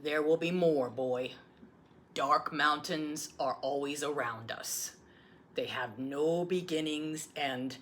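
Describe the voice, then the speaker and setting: A woman voicing a gentle old grandfather who tells a tale slowly, gravely and softly in a storybook read-aloud. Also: sits low, a little hiss or hum. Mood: reassuring. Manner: slow, grave, hushed storytelling.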